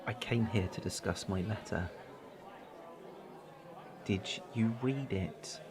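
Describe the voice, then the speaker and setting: A man's voice: lowish voice, slow, crowd noise. An actor playing an anxious, worn-down young boyfriend in a radio drama, hesitant and stammering as he pleads his case. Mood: pleading, weary. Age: young adult. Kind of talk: naturalistic radio drama acting.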